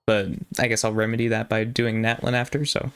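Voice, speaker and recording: A man's voice: lowish voice, little pitch movement, a little fast, a bit bright, clean tone. A man doing casual live stream commentary on a game, talking in a hesitant, rambling way. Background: game music.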